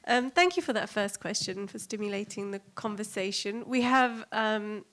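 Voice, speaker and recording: A woman moderating a seminar, briskly taking questions from the audience. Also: medium pitch, fairly bright tone, slight background noise.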